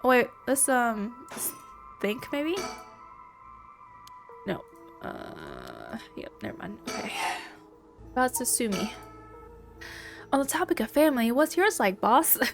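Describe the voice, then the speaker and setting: A woman's voice: on the high side, huge pitch swings, slow pace, vocal fry, long pauses, music in the background. A woman playing a game, reading its dialogue aloud and commenting playfully in between, her voice stuffy from allergies. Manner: reads lines and then comments playfully. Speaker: let's play gamer.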